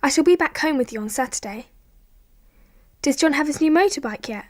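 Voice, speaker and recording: A woman's voice: sits high, animated, fairly quick. An actress playing a snobbish English schoolgirl in a radio drama, with a posh English accent and an affected, precise manner. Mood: prim brightness.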